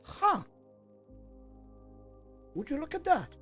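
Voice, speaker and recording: A man's voice: very high voice, huge pitch swings, takes it slowly, fairly bright tone, faint music behind. A man streaming and chatting casually as he works, hesitant with lots of fillers and self-corrections. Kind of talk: casual live-stream chat while working.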